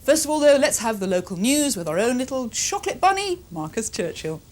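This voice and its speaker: A woman's voice: on the high side, sing-song, a little fast, a little mellow. A woman with a British accent presenting a local television programme in an upbeat, gushing, emphatic scripted style. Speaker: television news magazine presenter.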